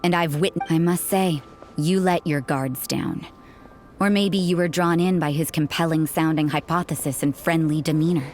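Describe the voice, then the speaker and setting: Cold, guarded nun voiced by a woman for a game, low-pitched, clipped delivery. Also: moderate pace, darkish tone, slight background noise, close to an everyday voice. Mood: stern. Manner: cool, clipped and distrustful. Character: stern, suspicious adult nun, cold and guarded. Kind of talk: video game voice acting.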